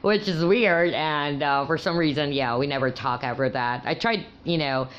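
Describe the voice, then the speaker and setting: A woman's voice: deep voice, moderate pace, dull audio, slight background noise. A woman whose first language is Tagalog, casually telling a personal story with frequent laughs and fillers. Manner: chatty, laughing often, many fillers and restarts. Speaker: crystal vlogger.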